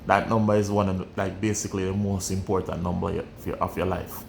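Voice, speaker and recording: Candid Jamaican man, low gravelly voice. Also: steady tone, brisk, slight background noise. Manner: candid and blunt, repeats phrases while thinking. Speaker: vlogger. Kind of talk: casual personal storytelling.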